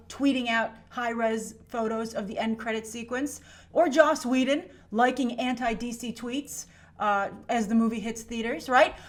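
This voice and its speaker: Higher-pitched woman giving emphatic commentary. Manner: emphatic, conversational, with rhetorical check-ins. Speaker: YouTube host and film commentator. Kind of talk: opinionated commentary to camera.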